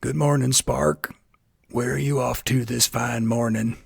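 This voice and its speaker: High, chirpy little old man. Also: fairly even intonation, unhurried, creaky, slightly rough, no echo, clean studio sound, exaggerated voice. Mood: friendly, flattering. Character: funny little old man, sly friendly storybook trader. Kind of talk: storybook character in bedtime audio.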